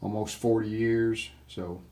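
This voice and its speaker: An older man giving a hands-on repair walkthrough in plain-spoken, halting phrases. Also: fairly low pitch, steady tone, dark tone.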